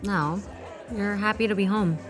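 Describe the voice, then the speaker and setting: A woman's voice: mid-range voice, measured pace, over music, close to an everyday voice. Woman acting a dry, defiant agent. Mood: teasing. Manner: dry, challenging. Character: defiant, sardonic female strike team agent. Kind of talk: dramatic dialogue.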